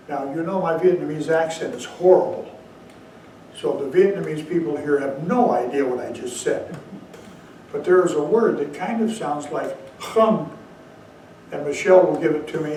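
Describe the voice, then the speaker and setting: A man's voice: fairly high pitch, a little hiss or hum. A man giving a dharma talk as Zen teacher, telling a story with bursts of emphasis. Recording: very echoey hall, mic far off.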